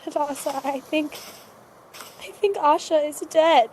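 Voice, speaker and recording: Woman acting a frail, high-voiced, despairing girl. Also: darkish tone, slight background noise, low-quality recording. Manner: halting, faltering, plaintive speech. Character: exhausted, frightened girl crossing a desert, despairing and fragile. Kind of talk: cinematic audio drama acting.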